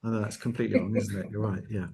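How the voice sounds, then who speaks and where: A man's voice: fairly low pitch, little pitch movement, rapid-fire, gravelly, warm tone, a little hiss or hum. A man hosting a casual live arts call, talking in a chatty, discursive way. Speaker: host of an arts network online call. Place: on location at an art gallery, live video call.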